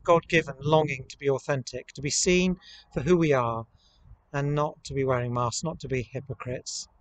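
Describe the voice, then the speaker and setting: A man, preaching a measured, earnest, reflective sermon to camera as a priest. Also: on the high side, rough recording. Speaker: priest leading online worship. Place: outdoors in a rural churchyard. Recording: outdoor camera recording with ambient noise.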